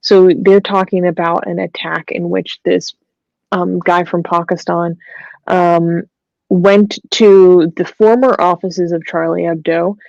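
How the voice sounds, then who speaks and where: A woman co-hosting a talk show, reading news reports aloud with many pauses and ums, then adding her own comments. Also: lowish voice, creaky, darkish tone, no echo, dull audio.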